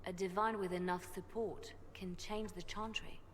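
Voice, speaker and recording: A woman playing a grave, thoughtful church adviser in a fantasy game, speaking in a measured, earnest way. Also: on the low side, fairly even intonation, lightly acted. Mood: earnest resolve. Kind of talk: scripted fantasy game dialogue. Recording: long hall reverb, faint noisy ambience.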